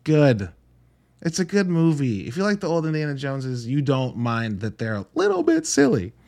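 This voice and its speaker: A man's voice: a little high, huge pitch swings, moderate pace, vocal fry, a little mellow. A man hosting a solo podcast in a casual, enthusiastic and emphatic way, with informal language and wry asides. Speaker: podcast host reviewing films.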